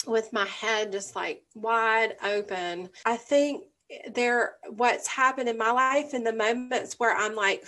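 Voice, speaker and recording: A woman's voice: on the high side, pushed and bright. A middle-aged woman speaking thoughtfully and openly, searching for her words in a casual conversation.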